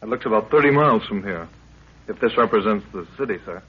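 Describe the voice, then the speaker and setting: An actor playing a dutiful young officer, respectful and matter-of-fact, in a 1930s radio drama. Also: male voice, lowish voice, animated, fast, breathy edge. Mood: respectful, businesslike. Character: dutiful young officer, earnest, tender sweetheart. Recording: muffled 1930s radio recording.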